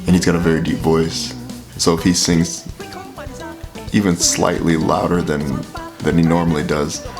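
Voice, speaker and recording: A man with a very deep voice giving casual, filler-heavy commentary while reacting to a song. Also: flat delivery, normal speed. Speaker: reaction video host. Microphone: headphones with mic. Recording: music playing under the voice. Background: music playing.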